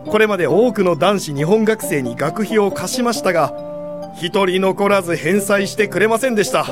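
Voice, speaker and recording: A woman's voice: mid-range voice, moderate pace, music in the background, close to an everyday voice. An actress playing a kindly, frank American lady in a radio drama. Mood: frank, impressed. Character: kindly, frank American woman lender at Boston church.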